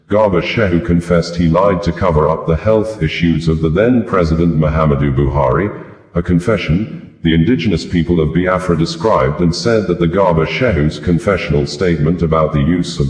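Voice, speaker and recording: Deep-voiced male news reader, echoey. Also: darkish tone. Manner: formal read-out delivery in long sentences. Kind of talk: scripted news narration. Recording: echoey room sound.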